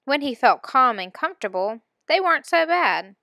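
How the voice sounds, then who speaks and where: A woman reads a story aloud in a bright, fairly high voice. The recording is dry and close, and her delivery is expressive, built for acting out a children's novel. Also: moderate pace, vocal fry, no room sound. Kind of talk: read-aloud storytelling in first person.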